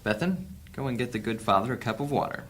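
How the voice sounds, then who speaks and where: An actor playing a calm, commanding leader of thieves in a fantasy audio drama, measured and authoritative. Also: male voice, mid-range voice, slightly rough.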